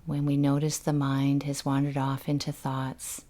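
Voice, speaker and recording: A woman leading a guided meditation in a gentle, unhurried, soothing manner, leaving long pauses between instructions. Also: rumbling low, monotone.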